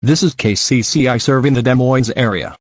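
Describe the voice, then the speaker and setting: A man's voice: medium pitch, brisk, slightly rough, dry recording, studio quality. A man reading a scripted local news report as a news anchor.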